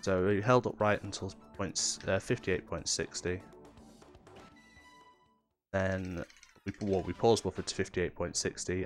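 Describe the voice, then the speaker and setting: A man with a cold and a nasal voice, casually explaining technical tricks as he commentates his own speedrun. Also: low voice. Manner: relaxed, explanatory, with fillers. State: has a cold.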